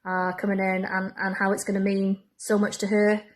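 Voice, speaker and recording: A woman's voice: mid-range voice, monotone, moderate pace. A woman from a pantomime company, reminiscing casually.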